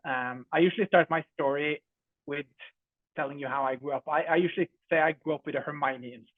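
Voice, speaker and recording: A man's voice: on the high side, steady tone, fast, no room sound. Norwegian man on a tinny call. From Norway. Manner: friendly, chatty, many fillers. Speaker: startup co-founder presenting. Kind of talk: online demo presentation. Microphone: video call. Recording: dry, phone-like video call audio.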